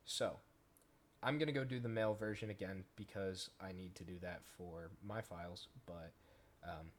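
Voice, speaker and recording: A man casually talking through a software tutorial step by step, in an informal way. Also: deep voice, fairly even intonation, moderate pace. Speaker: tutorial host, gaming content creator. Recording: noisy home recording with keyboard clicks.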